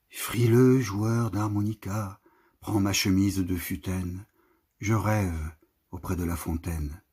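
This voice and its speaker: A man with a husky, raspy voice reciting a literary poem expressively, in measured, declamatory phrases with marked pauses. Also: deep voice, darkish tone. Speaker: poetry reader.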